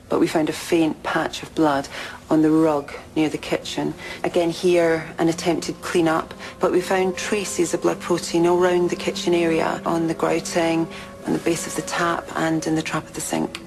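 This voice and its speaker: An actress playing a matter-of-fact police forensics investigator, measured and factual, in an audio drama. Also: female voice, sits low, little pitch movement, creaky, over music, low-quality recording.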